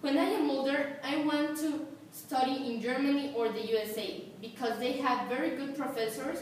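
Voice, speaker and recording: A woman's voice: sits high, fairly even intonation, moderate pace. A teenage girl from Mexico with a Mexican Spanish accent, a native Spanish speaker, reciting a school speech carefully, phrase by phrase. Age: teens. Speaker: student. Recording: echoey room, distant mic, faint hiss.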